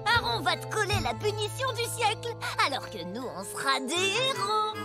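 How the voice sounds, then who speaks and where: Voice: extremely high pitch, big pitch swings, normal speed, heavily acted. An actor voicing a cocky, mocking kid bully in a French prehistoric cartoon, with a sneering, theatrical delivery. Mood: smug, sneering. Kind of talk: French children's cartoon dubbing. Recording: loud music behind the voice.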